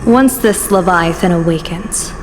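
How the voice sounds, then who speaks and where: A woman playing a composed, wry general and strategist in a video game story, speaking in a measured, formal and lightly amused way. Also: lowish voice, lightly acted. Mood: wry, grave. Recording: long hall reverb under clear music.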